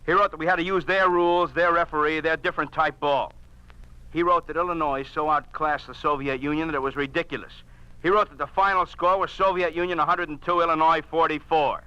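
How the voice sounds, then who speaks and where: Forceful, angry sports editor. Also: male voice, on the high side, fairly even intonation, brisk, clean tone, no echo. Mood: angry, insistent. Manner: forceful, insistent, hammering repetition. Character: angry, stubborn newspaper sports editor, a man. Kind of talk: 1950s radio drama acting. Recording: muffled old radio recording.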